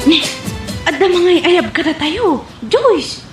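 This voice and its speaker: Woman acting a high, coaxing young friend. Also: expressive, takes it slowly, faint music behind, full character voice. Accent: northern Philippine. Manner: persuasive, chummy. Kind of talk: comedy radio drama acting. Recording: roomy echo.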